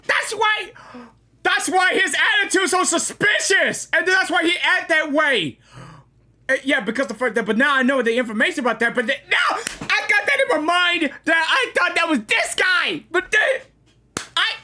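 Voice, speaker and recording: Excitable, high-pitched woman reacting to a game. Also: moderate pace. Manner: exclamatory, repetitive, slangy. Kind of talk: excited live reaction commentary.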